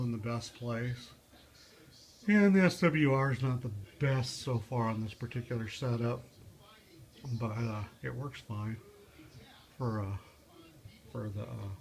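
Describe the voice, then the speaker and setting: A man talking through a casual, unscripted DIY car how-to as a vlogger, halting and rambling as he thinks aloud. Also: medium pitch, fairly even intonation.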